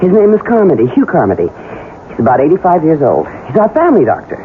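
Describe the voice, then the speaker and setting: Muffled, hissy radio drama recording of a scheming married woman. She is urgent and insistent, with a pitch that swings widely as she presses her case. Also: wildly swooping pitch, quick pace. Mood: coaxing. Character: scheming, impatient married woman plotting to kill her husband. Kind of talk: 1980s radio drama acting. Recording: dull, noisy old radio broadcast recording.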